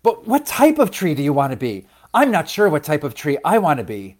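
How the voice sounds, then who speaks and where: A man's voice: a little high, huge pitch swings, fairly quick, a little mellow. A man voicing an eager, dreamy little girl seed in a children's story, pleading and full of questions. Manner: pleading, wide-eyed, inquisitive.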